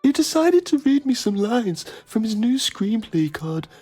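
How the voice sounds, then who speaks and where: Man playing a mellow, pompous documentary presenter. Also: sits high, big pitch swings, normal speed, husky, faint music behind, full character voice. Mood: solemn, intrigued. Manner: solemn, pompous. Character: self-important male television documentary journalist, solemnly intrigued. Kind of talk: mock documentary narration.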